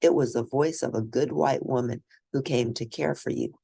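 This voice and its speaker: Reasoning storybook grandfather, read by a woman, measured, reassuring, slightly gravelly, dry recording. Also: very deep voice, mellow, no room sound, full character voice.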